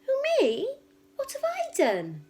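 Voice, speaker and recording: Woman as an indignant, high-voiced little schoolgirl. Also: wildly swooping pitch, slow pace, warm tone, full character voice. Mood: startled protest. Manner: high, insistent, pleading protest. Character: indignant, stubborn young schoolgirl protesting her innocence.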